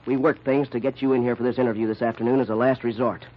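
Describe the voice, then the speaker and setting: An actor playing a nervous, indignant young son of a wealthy family in an old-time radio mystery, protesting in an agitated way. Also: male voice, mid-range voice, level tone, fast talker, highs cut off, natural voice. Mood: earnest.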